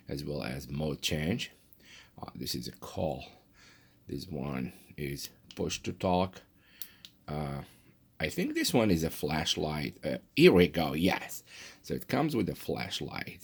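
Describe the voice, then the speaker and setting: A man presenting a casual unboxing, talking haltingly and thinking aloud as he goes. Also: extremely low pitch, gravelly, husky. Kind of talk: casual product unboxing commentary.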